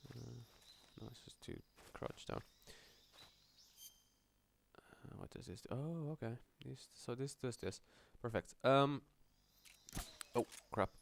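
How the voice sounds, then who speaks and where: A man's voice: medium pitch, slow pace, gravelly, long pauses, a little hiss or hum. A man giving casual gameplay commentary in a hesitant, rambling, muttering way. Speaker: gaming video host.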